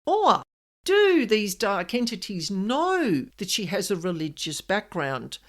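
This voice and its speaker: Woman with very animated, swinging pitch. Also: takes it slowly. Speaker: podcast host and paranormal specialist. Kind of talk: conversational podcast monologue.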